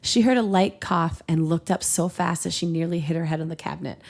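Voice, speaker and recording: Female podcast host, fry-edged voice, playful and casual storyteller narrating fiction. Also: on the low side, fairly quick, a little mellow. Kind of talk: casual comedic read-aloud narration.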